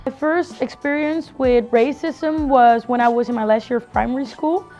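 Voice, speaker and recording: A woman's voice: high voice, moderate pace, mellow, faint music behind. A woman of twenty-one, telling her personal story in a reflective, conversational way. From Netherlands.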